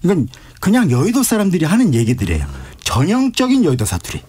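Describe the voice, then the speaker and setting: Fast-talking animated man with husky voice. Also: sits high. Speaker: political aide, panel guest. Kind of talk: casual radio panel commentary, explaining. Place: radio studio.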